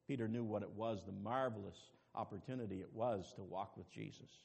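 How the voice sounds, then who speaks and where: A man preaching a sermon as pastor, dropping to quiet asides and rising to loud, emphatic calls to the congregation. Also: fairly low pitch, brisk, gravelly, breathy edge. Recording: long reverb with faint music behind.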